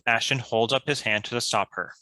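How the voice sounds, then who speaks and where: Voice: mid-range voice, moderate pace, sharp tone. A narrator reading stage directions from a script in a scripted narration style.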